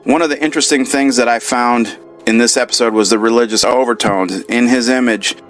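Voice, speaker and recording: A man from the United States shares his comments in a steady, methodical voice of middle pitch. Faint music sits underneath. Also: fairly quick. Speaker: listener contributor. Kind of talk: read-out listener comment.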